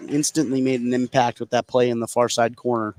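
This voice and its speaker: A man's voice: mid-range voice, flat delivery, a little fast, a little mellow. A man giving conversational color commentary and analysis during a live basketball broadcast. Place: indoor high school basketball game.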